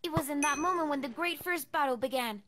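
A woman playing an adventurer who narrates a dark quest in scripted first person for a game. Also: high-pitched, quick pace, lightly acted. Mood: earnest storytelling. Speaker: story narrator. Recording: clear background noise.

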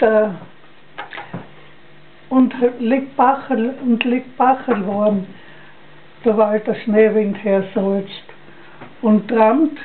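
An older woman with a Styrian Austrian accent recites a dialect poem from memory, halting and repeating phrases, on a lo-fi home recording. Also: fairly high pitch. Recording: dull lo-fi home recording, noisy.

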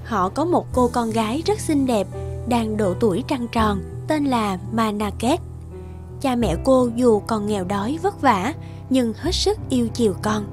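A woman's voice: a little high, animated, unhurried, over music. A woman reading scripted fairy tale narration as a storyteller.

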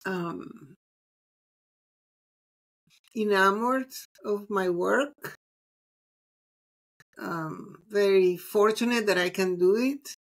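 A woman's voice: medium pitch, extremely slow, pauses often. A middle-aged woman from Venezuela, a psychologist and mindfulness teacher, talking reflectively with frequent ums as a guest on a video call interview. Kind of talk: casual interview conversation.

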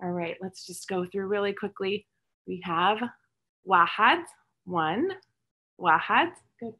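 A cheerful, encouraging middle-aged woman leads a children's lesson from her home. She speaks into a close webcam and repeats each word slowly so the kids can follow. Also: mid-range voice, lively intonation, bright, forceful tone, husky. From born and raised in English-speaking countries, Palestinian roots. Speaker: children's storytime host and Arabic teacher. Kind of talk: teaching numbers to young children over a video stream. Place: home room with shelves of toys and books. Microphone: webcam at close range, video call.

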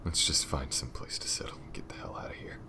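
A man playing a brooding, shaken detective in an audio roleplay, muttering haltingly. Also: in a whisper, close to an everyday voice. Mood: shaken, weary. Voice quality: very deep, gravelly, breathy murmur. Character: brooding, shaken male detective haunted by loss.

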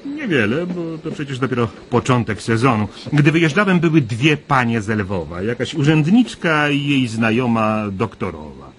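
A man's voice: medium pitch, sing-song, normal speed, few pauses, lightly acted. Actor as a chatty local man, faint noise behind. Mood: confiding, chatty. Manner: talkative, emphatic, colloquial. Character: chatty, folksy local man, proud castle gossip. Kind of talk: 1990s Polish radio drama acting. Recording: radio play with background noise.

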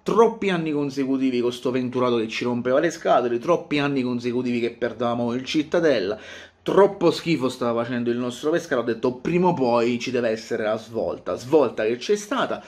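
A man in his thirties talking casually to camera as a football fan vlogger, with an animated, theatrical delivery that repeats phrases for emphasis. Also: medium pitch, fairly quick. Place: small home room, indoors.

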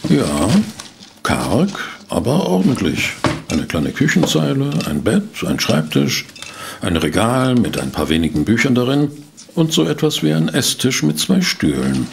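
An actor playing a polite, pensive private detective who narrates and investigates in a German audio drama. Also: male voice, mid-range voice, huge pitch swings, unhurried, creaky, a little hiss or hum, heavily acted. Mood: pensive, curious. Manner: courteous, pensive, hesitant. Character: polite, inquisitive male private detective, narrating his case.

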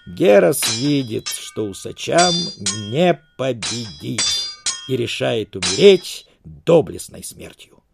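Theatrical male narrator with a creaky edge. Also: medium pitch, expressive, slow, noisy background. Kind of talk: dramatic scripted narration of a silent film.

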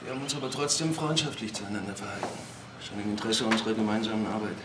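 Actor as defensive widowed husband, noisy recording. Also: male voice, fairly low pitch, rapid-fire, natural voice. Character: defensive widowed husband under police questioning. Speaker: actor playing a questioned husband. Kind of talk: German TV crime drama dialogue. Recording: clearly noisy drama soundtrack.

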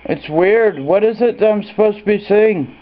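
A man's voice: high voice, normal speed, phone-quality sound. An adult man answering questions and asking his own in a phone conversation, recorded indoors at home on a handheld camera. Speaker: person answering a suspicious phone call.

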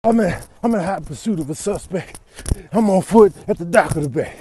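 A man's voice: high voice, animated, brisk, mellow, a little hiss or hum. A man voicing an exasperated veteran cop with a grumbling, folksy manner in a comedic voiceover. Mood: harried, pleading. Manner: grumbling, world-weary, folksy. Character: weary veteran male cop on a foot chase, exasperated. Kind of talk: comedic improvised video voiceover.

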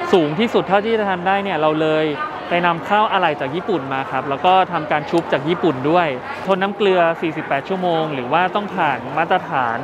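High-voiced man pitching at an expo. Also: dull audio. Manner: friendly and explanatory, with many filler pauses. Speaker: brand founder presenting products. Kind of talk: casual product pitch. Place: trade show booth, indoors. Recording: noisy expo hall.

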